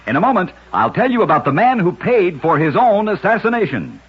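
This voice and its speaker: A very husky man's voice, slightly high and full of lively movement, tells scripted strange tales in an emphatic 1940s radio manner. The old recording sounds muffled. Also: a little fast. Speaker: radio storyteller, host.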